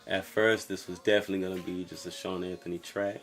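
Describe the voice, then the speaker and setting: Young man from Brooklyn, singer-songwriter, low soft voice, relaxed and rambling. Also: quick pace, soft background music. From Brooklyn, New York. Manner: relaxed, rambling, lots of fillers like "you know". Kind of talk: casual talk to camera about his music.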